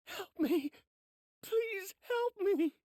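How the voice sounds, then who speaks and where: A man's voice: extremely high pitch, lively intonation, very slow, darkish tone, no room sound, studio quality, heavily acted. A man voicing a desperate young demon-slayer soldier in an anime game, anxious and pleading. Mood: panicked, pleading. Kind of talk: anime game cutscene acting.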